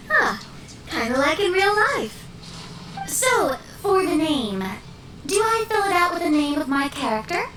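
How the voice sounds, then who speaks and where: A bright-pitched, animated young woman, theatrical and teasing. The voice is a bit far off in an echoey game mix with music behind. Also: slow pace, long pauses, heavy background noise, full character voice. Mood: playful. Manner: lively, teasing, fond of drama. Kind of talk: game voice acting.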